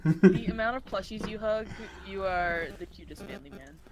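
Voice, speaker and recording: A woman's voice: mid-range voice, moderate pace, a crowd in the background. A woman co-hosting a drawing critique stream, teasing and goofing around. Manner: teasing, goofy. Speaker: co-host of an art critique stream. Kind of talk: casual group chat.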